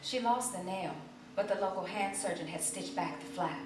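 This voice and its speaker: A woman's voice: medium pitch, moderate pace. Woman, mid-range voice, live literary stage reader, roomy hall sound, slightly distant mic. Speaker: reader in an ensemble stage reading. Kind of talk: theatrical literary reading aloud. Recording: echoey live theatre, distant mic, faint noise.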